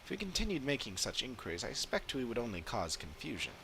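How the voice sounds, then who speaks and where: A man voicing earnest, analytical fantasy adventurers from a video game in measured, formal phrasing. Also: medium pitch, wildly swooping pitch, sharp tone, a little hiss or hum, heavily acted, low-quality recording. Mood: earnest, puzzled. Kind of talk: dramatic read-aloud of game dialogue.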